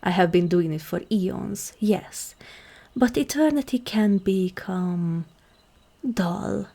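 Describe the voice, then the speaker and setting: Husky, slow demon queen, acted by a woman. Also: mid-range voice. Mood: languid, intrigued. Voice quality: husky, breathy, creaky. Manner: measured, commanding, deliberate, often breaking into whispery softness. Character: regal, composed, seductive ancient demon queen. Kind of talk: ASMR roleplay, scripted monologue to the listener.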